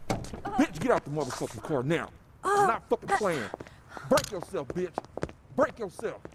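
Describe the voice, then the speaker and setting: Wildly animated, aggressive carjacker. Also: male voice, high-pitched, normal speed. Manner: shouted, profane threats. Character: aggressive, foul-mouthed male street carjacker. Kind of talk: video game street dialogue. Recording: clear street and vehicle noise.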